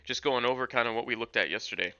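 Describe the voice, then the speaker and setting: A man's voice: mid-range voice, quick pace, sharp tone, clean tone. A young man streaming live from home, giving upbeat chart commentary to chat viewers while losing his voice from a sore throat. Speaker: crypto trading streamer. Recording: dull lo-fi sound with faint music. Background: faint background music.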